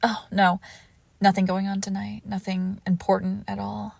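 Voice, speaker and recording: A woman playing a suave, controlled villainous ex-lover in an intimate audio roleplay, with measured formal phrasing and soft breathy pauses. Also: medium pitch, monotone, dry recording. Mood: tender, regretful. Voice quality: creaky, often dropping into whisper. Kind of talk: scripted romantic audio roleplay, intimate and slow.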